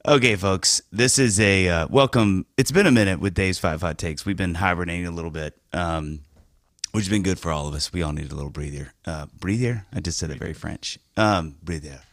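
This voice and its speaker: A man's voice: deep voice, brisk, no room sound, studio quality. A man hosting a podcast in a casual, rambling, jokey way, sounding a bit scratchy from a cold. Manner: rambling, jokey, self-mocking asides. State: has a cold, slightly scratchy. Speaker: podcast host. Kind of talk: casual monologue intro.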